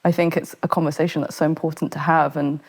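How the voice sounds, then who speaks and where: A woman's voice: sits low, little pitch movement, fast talker. A woman from Britain, a fashion photographer, talking casually as a podcast guest and chatting in a self-deprecating way with many fillers.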